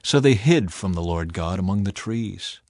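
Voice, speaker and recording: A man narrating scripture as an audiobook in an expressive, deliberate, dramatic storytelling style. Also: sits low, no room sound, clean studio sound.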